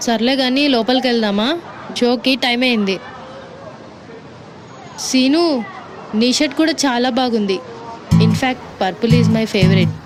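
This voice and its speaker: Female actor as sweet young date, fairly high pitch, coy, gently chiding, comedy audio drama, loud music behind. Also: measured pace, pauses often, crowd noise, lightly acted, rough recording. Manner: coy, sweet, lightly scolding.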